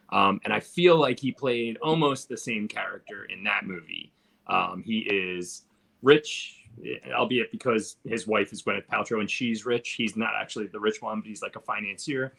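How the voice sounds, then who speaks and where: Gravelly, low-voiced man chatting about film. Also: normal speed, fairly bright tone. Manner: rambling, thinking aloud. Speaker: film discussion podcast panelist. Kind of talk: casual film chat.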